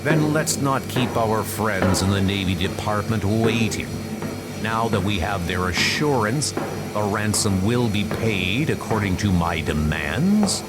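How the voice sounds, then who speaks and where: Comic-book villain colonel , acted by a man: pompous, commanding, animated pitch, heavy music underneath. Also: unhurried. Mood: imperious. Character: maniacal villain colonel commanding a zeppelin, imperious. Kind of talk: comic book dub acting. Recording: loud music behind.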